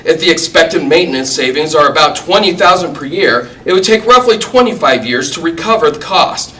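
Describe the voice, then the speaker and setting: A man reads a prepared public comment at a school board meeting. His voice sits a little high, and his pointed, critical delivery stays measured. He is picked up from some distance over faint room noise. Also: expressive, pushed and bright.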